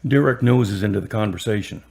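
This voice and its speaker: A man's voice: lowish voice, brisk, vocal fry, darkish tone, husky. A man reading scripted narration for a radio drama as its narrator.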